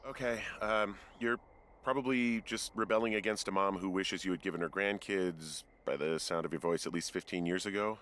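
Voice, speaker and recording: A man's voice: low-pitched, moderate pace, fairly bright tone, a little hiss or hum. An actor playing a weary, sardonic man who is a new fire lookout in naturalistic video game dialogue, speaking in a dry, curt, hesitant way. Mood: dry, dismissive.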